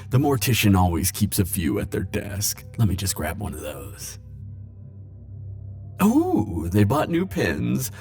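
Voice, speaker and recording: Man playing low, slow, flirty immortal charmer. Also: darkish tone, exaggerated voice. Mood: casual, mildly exasperated. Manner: coy, theatrical, drawn-out teasing phrasing. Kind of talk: ASMR roleplay audio acting.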